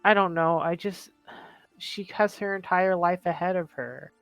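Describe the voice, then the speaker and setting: A man voicing a distressed, disbelieving teenage boy in a tabletop game, earnest and halting. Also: high voice, gravelly, a bit bright, dry recording, dull audio, faint music behind, exaggerated voice. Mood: distressed, pleading.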